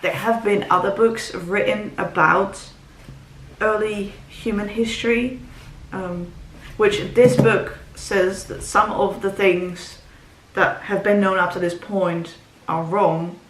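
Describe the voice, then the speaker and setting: A woman's voice: mid-range voice, steady tone, slow pace, a bit bright, slight background noise. Rambling woman. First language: Dutch. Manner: rambling, frequent ums and restarts. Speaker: book vlogger. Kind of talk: casual book talk to camera. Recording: roomy echo, faint ambience.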